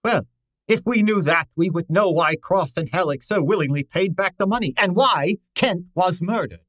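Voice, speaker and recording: A man playing a pompous, imperious middle-aged genius detective, precise and deliberate, in an old-time radio drama style. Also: high voice, lively intonation, fairly bright tone, no room sound. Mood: probing.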